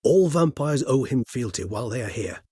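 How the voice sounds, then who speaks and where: An actor playing an erudite, courteous, weary male higher vampire in a fantasy game, speaking formal, wordy, old-fashioned English in a husky voice. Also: lively intonation, fairly quick, dry recording, studio quality. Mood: calm, earnest. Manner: formal, wordy, archaic gentlemanly diction. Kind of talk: fantasy game character dialogue.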